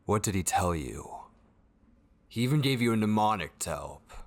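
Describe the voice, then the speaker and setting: Male actor as frantic forgetful vampire, low husky voice, gravelly edge, anxious self-questioning mutter, horror ASMR roleplay. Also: lively intonation, moderate pace. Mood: straining to remember.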